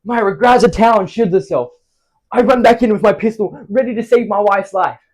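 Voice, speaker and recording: Flustered husband in a wildly animated stage monologue, acted by a man. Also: a little high. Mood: frantic, flustered. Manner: stammering, dramatic pauses, big emotional swings. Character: flustered married man frantically recounting a disastrous anniversary night. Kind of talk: theatrical comic stage monologue.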